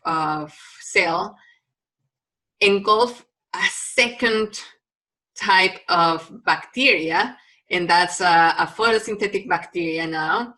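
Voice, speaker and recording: A woman's voice: on the low side, sharp tone, low-quality recording. A woman from Mexico with a Mexican Spanish accent and Spanish as her first language, giving a recorded biology lecture with frequent ums and restarts.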